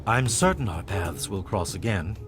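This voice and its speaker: An actor playing a courteous, solemn leader of a secretive cult in a video game, formal and measured. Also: male voice, deep voice, lively intonation, over music.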